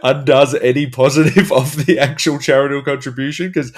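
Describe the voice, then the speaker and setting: Male comedy guest, mid-pitched voice, dry and casual delivery. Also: steady tone, fairly quick. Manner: dry, self-deprecating joking.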